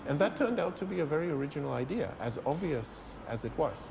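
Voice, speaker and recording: A man in an interview speaking in an animated way, with strong rises in pitch. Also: quick pace, creaky, background noise.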